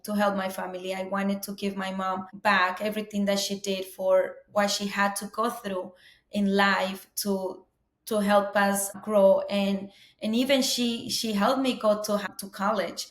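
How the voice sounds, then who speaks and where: Woman, earnest storyteller, mid pitch, echoey room. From Colombia. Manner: earnest, warm storytelling with frequent "you know" fillers. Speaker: guest, business owner. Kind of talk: interview conversation. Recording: roomy echo.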